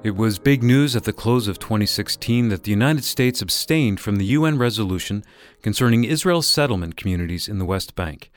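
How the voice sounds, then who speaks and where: A man's voice: fairly low pitch, expressive, fairly quick, no room sound, faint music behind. A man announcing and co-hosting a radio program in a scripted, orderly delivery.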